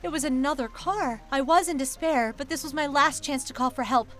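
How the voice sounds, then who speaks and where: A woman earnestly narrating her own story in the first person, with soft music behind her. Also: high-pitched, fairly quick. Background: soft background music.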